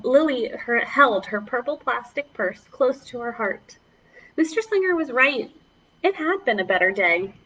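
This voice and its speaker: A woman's voice: high-pitched, sharp tone, dull audio, slight background noise. A woman children's librarian leading a preschool storytime, speaking to young children in an encouraging, exaggerated way.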